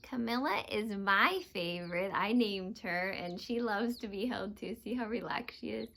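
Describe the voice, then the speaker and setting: A woman's voice: medium pitch, normal speed, gravelly, fairly bright tone. A woman teacher giving a read-aloud lesson to young children, explaining simply and asking them questions. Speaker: teacher reading aloud to students.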